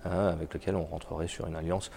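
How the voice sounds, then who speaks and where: Cast a low gravelly man with a head cold, an executive giving halting interview answers. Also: little pitch movement, mellow. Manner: halting, many repeated words and fillers. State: has a cold. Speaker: biotech company executive.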